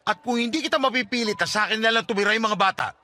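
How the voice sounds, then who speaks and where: A man's voice: high-pitched, rapid-fire, sharp tone. A man playing a hurt, jealous estranged husband and father in a radio drama, earnest and insistent. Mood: pleading. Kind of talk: Tagalog radio drama acting.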